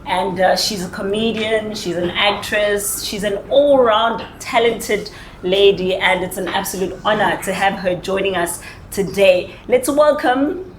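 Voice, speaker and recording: A South African woman hosting a lively group conversation in a playful, teasing way, often laughing. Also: medium pitch, a little slow, a little hiss or hum. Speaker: podcast host. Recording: roomy echo, people in background.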